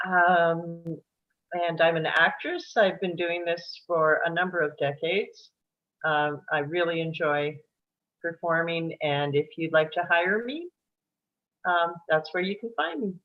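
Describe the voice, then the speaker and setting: A woman's voice: fairly low pitch, slow. An older woman, an actress, casually introducing herself. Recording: dull lo-fi call audio.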